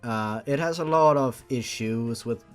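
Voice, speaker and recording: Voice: mid-range voice, a little slow, soft background music. A host giving casual comedic reaction commentary on amateur fiction, melodramatic and exasperated, swearing freely. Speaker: host of a fan fiction reading series.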